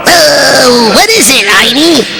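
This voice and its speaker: Creaky, dithering cartoon scientist. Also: male voice, very high voice, animated, over loud music, full character voice. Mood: frightened. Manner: halting, stammering with many hesitations. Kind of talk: live cartoon-voice script reading.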